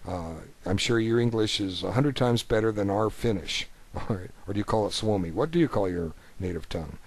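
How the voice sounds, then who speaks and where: Low-voiced man casually commenting on chess. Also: brisk. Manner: rambling, conversational, folksy. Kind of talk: informal game commentary. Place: voice-over of an on-screen chess board.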